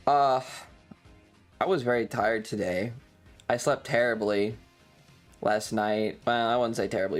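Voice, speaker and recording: Male streamer, slightly tired, unhurried rambling chat with swearing, music underneath. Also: mid-range voice. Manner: sweary, self-deprecating. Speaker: livestream host.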